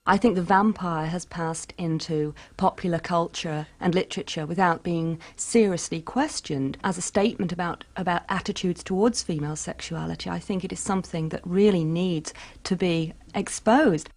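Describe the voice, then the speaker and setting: A woman's voice: on the low side, moderate pace, few pauses, darkish tone. A woman giving earnest, critical commentary in an interview. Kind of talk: spoken commentary.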